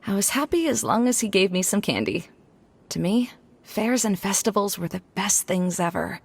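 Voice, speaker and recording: A woman's voice: medium pitch, sing-song, moderate pace, creaky, breathy edge, full character voice. An actress playing an earnest, reflective young scholar woman in an anime game. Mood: reminiscing. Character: wistful, self-doubting young scholar woman running a fair.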